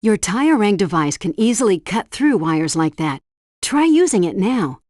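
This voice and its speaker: A woman's voice: medium pitch, very animated, normal speed, darkish tone, dry recording, clean studio sound, lightly acted. A woman voicing a helpful female helpdesk guide in a video game, giving scripted tutorial instructions and mission briefings with precise diction. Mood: instructive.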